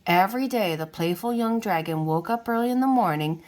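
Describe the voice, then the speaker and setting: A woman reading a children's storybook aloud in an unhurried way, as if to young listeners. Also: mid-range voice, expressive, a little mellow. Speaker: children's book reader. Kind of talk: read-aloud storybook narration.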